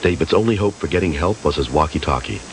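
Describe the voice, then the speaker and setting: Man, deep voice, scripted documentary narration, rescue story, noisy old TV recording. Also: lively intonation, fast. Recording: noisy old TV broadcast audio.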